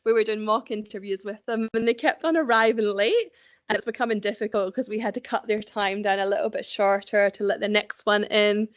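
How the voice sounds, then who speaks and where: A woman's voice: medium pitch, fairly even intonation, brisk, no room sound, highs cut off. Scottish woman giving career advice, dry sound. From Scotland. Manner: practical, conversational, frequent ums, occasional laughs. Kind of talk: presentation to young professionals.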